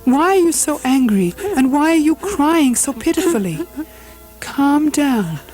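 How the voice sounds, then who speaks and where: A woman's voice: high voice, very animated, unhurried, darkish tone, soft background music. A woman playing a tender, anxious queen mother in a puppet show, with a solicitous theatrical delivery. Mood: worried, soothing.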